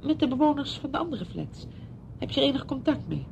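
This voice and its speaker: An actress playing a chatty, well-meaning visitor in a radio drama, with a coaxing, fussing manner. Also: female voice, very animated, a little fast, gravelly, darkish tone, lo-fi sound, full character voice. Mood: chatty, probing. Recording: noisy old radio play recording, low rumble.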